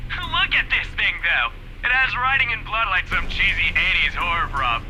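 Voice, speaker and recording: A piercing, very high young prankster jeers excitedly. The game voice is extremely bright, with faint noise behind it. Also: male voice, moderate pace, exaggerated voice, low-quality recording. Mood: scoffing. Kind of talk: visual novel game voice acting.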